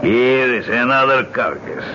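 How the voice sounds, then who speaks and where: Noisy old radio drama, male actor as sinister grave robber, slow heavy delivery, commanding. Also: medium pitch, lightly acted. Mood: sinister, satisfied. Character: sinister Caribbean grave robber leading his men. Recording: dull old radio broadcast, loud background noise.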